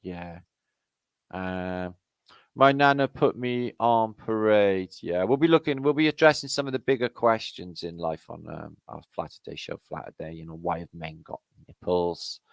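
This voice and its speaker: A man's voice: low voice, moderate pace, creaky, no echo. A middle-aged Welsh man hosting a casual livestream, rambling and swearing as he greets viewers in the chat. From Wales. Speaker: livestream host. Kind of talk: casual live-chat banter.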